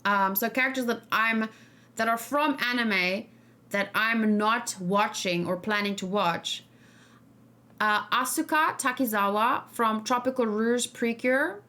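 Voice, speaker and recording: Higher-pitched woman chatting casually on stream. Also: a little slow, bright, forceful tone. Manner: informal, musing, thinking aloud. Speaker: streamer and reaction host. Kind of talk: casual livestream game discussion.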